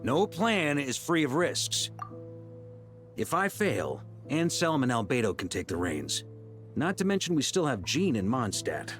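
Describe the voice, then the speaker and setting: A man voicing a seasoned, affable but resolute knight leader in a video game, musing and expressive. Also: mid-range voice, a little slow, faint music behind. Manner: expressive, musing, hesitant asides. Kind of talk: English video game dialogue acting.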